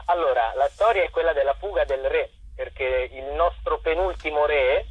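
A man's voice: fairly high pitch, normal speed, breathy edge. Young man on a tinny phone line. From Chieti, Abruzzo, Italy. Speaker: actor and playwright, interview guest. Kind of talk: conversational interview, explaining at length. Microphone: phone call. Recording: tinny, roomy telephone line on radio.

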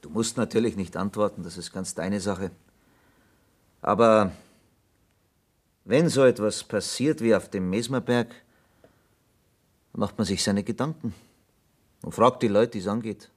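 Investigator, radio drama: patient, probing, low-pitched, measured but insistent questioning. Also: male voice. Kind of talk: German radio play acting.

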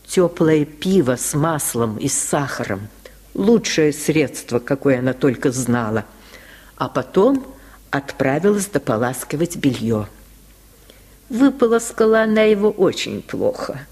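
Slow, higher-pitched male storyteller. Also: husky. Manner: measured, expressive. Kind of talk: dramatic literary narration for radio.

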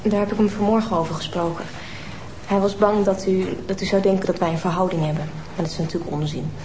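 A woman's voice: medium pitch, steady tone, background noise, close to an everyday voice. A woman playing a guarded young woman. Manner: measured, guarded. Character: composed young woman hiding an affair.

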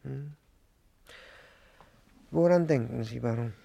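An actor playing a gentle, fatalistic older man in hiding in a 1950s German radio play, quiet, pensive and plain-spoken, with a creaky voice. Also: mid-range voice, slow, exaggerated voice. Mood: gentle, frank.

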